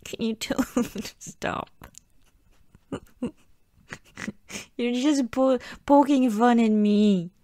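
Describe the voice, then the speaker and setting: A woman playing a playfully whiny, pouting girlfriend in an improvised ASMR roleplay, with halting, giggly, mock-sulky pleading. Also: on the high side, dark tone, no room sound. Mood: playful sulking.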